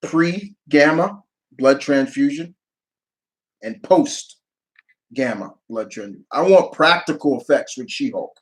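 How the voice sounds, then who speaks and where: Casual male livestreamer, halting delivery. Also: a little high, animated. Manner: halting, repeats phrases, reads questions aloud then answers. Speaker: livestream host answering viewer questions. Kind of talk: casual off-the-cuff Q&A chat.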